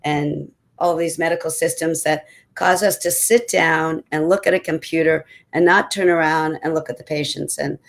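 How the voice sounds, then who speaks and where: A woman in her sixties, a doctor on a panel, talking in an energetic, emphatic way. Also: sits low, normal speed, gravelly. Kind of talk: panel discussion answer.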